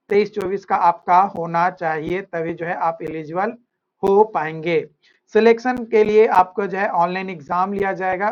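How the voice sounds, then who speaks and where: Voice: mid-range voice, a bit bright. An instructor with an Indian accent gives an informal explanatory lecture in a repetitive way, asking and answering their own questions. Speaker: online coaching instructor. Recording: dull lo-fi audio.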